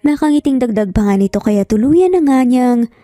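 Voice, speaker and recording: A woman's voice: a little high, animated, moderate pace, dark tone, no echo, studio quality. A Filipino woman reading a scripted romance story as a narrator. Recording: background music under voice. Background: music.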